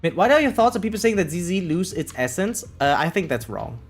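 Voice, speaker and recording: A video game streamer chatting casually and informally to viewers in an animated, opinionated way. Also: medium pitch, a little fast, faint music behind. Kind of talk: casual livestream chat.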